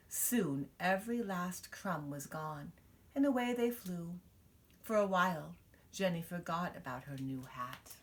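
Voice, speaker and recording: Female storybook reader, expressive and lively in pitch, taking her time over the words. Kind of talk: children's picture book read-aloud.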